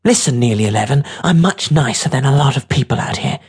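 A narrator plays a streetwise teenage girl in an audiobook story, pitched up from the narration and very husky. She is scornful and bossy, mocking whoever she talks to with brash toughness. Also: animated, fast talker. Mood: scornful, threatening.

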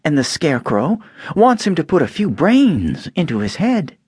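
A man doing a soft-spoken young girl. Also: on the high side, wildly swooping pitch, moderate pace, husky, no echo, clean studio sound, pitched above the performer's own voice, heavily acted. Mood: gentle. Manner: soft, kind. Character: gentle, earnest young girl.

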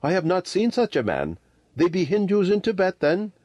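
Storybook role: bold Indian street boy voiced by a man, cheeky and sure of himself, raised pitch, animated. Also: normal speed, dry recording, lo-fi sound, exaggerated voice. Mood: curious.

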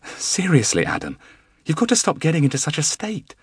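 A man voicing a gentle, worried young wife who speaks softly and steadily. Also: a little high, a little fast, husky, no echo, studio quality, pitched above the performer's own voice, heavily acted. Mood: gentle, concerned. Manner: soft and steady, softly whispered.

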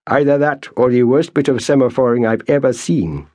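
A man voicing a sly, mock-humble army sergeant who heaps on elaborate flattery. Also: medium pitch, a little fast, no echo, studio quality, voice pushed up, lightly acted. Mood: mock-flattering.

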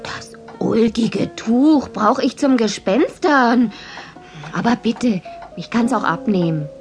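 A woman playing a cheeky, excitable little witch girl in a German children's audio drama, theatrical and self-important. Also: a little high, takes it slowly, over music. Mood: curious, bargaining.

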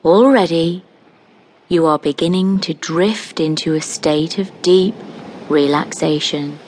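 A woman narrating a scripted guided meditation in a soothing, gentle manner, leaving long pauses between short phrases. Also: sits low.

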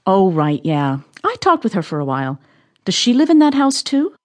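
An actress playing an earnest, inquisitive amateur sleuth in an audio drama, matter-of-fact and questioning, with a husky voice. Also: female voice, medium pitch, sing-song, normal speed, natural voice. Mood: curious.

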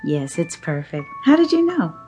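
Casting: a polite but rattled female shopper in a radio drama, very expressive, swooping intonation, music underneath. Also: low voice, moderate pace. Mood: hesitant, curious. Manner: expressive, hesitant, increasingly puzzled and insistent. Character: uneasy, polite, questioning woman shopper.

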